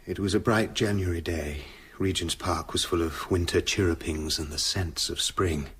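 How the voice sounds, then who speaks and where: An actor playing a tormented British gentleman scientist confessing his dark side in a brooding, literary radio drama narration. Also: deep voice, normal speed, slightly rough, full character voice. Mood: reflective, uneasy.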